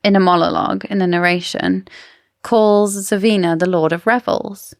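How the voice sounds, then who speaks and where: A woman co-hosts a casual book podcast with a creaky voice that sits a little low. She is inquisitive and opinionated. The sound is very dry. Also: fairly even intonation, moderate pace, dry recording. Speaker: podcast co-host.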